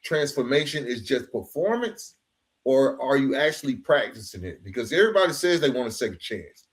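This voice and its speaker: A man's voice: mid-range voice, very animated, a little fast. A man hosting a podcast interview, speaking casually and reflectively, affirming his guest and punctuating his points with slang. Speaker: podcast host. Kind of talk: conversational interview with reflective commentary.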